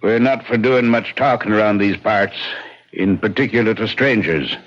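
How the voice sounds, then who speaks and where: An actor playing a suspicious, inquisitive country publican with an Irish accent in an old-time radio drama. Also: male voice, fairly low pitch, moderate pace, lightly acted. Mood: wary, probing. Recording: dull lo-fi radio drama sound.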